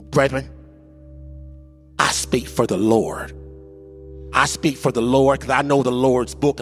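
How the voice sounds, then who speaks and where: A fiery thundering preacher. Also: male voice, a little high, voice pushed up, heavily acted. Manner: preaching with rising, shouted build-ups. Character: fiery male church preacher, thundering and stern. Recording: long hall reverb, faint music.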